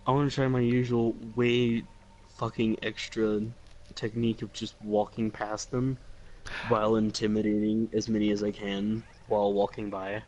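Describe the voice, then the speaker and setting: A man's voice: lowish voice, little pitch movement, moderate pace, a little mellow, highs cut off. A man chatting casually as a player in a tabletop role-playing game.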